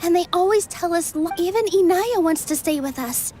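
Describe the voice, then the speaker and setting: An actress playing a gentle, earnest young theater dancer in a video game, speaking softly and from the heart. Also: female voice, extremely high pitch, animated, normal speed. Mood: earnest, restrained.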